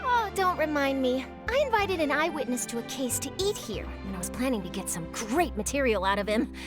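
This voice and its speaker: A scoop-hungry young female journalist from an anime game. Her voice is extremely high and very animated, with perky, gushing, theatrical exclamations. Music plays clearly behind her. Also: fairly quick. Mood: frustrated, self-soothing. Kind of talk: anime-style video game voice acting.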